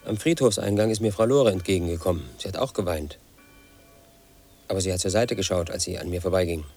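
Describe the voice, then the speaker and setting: A brooding, paranoid loner. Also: male voice, sits low, brisk, faint music behind. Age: 30s. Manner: flat, brooding, quietly obsessive. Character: brooding paranoid man in his late thirties. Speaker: narrator of his own story. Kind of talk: inner monologue in a radio play.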